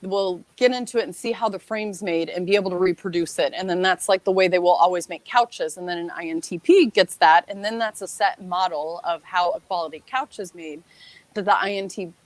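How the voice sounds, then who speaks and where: A woman's voice: fairly low pitch, no echo, rough recording. A woman guest in a casual discussion, thinking aloud with many restarts and fillers. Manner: thoughtful, halting, many fillers.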